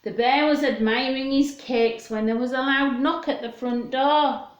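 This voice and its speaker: Lady doing storytime for little kids, really slow, sing-song, echoey room at home. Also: high-pitched, fairly even intonation, lo-fi sound. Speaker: children's storyteller and host. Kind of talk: storytime chat and read-aloud for young children. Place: indoors at home.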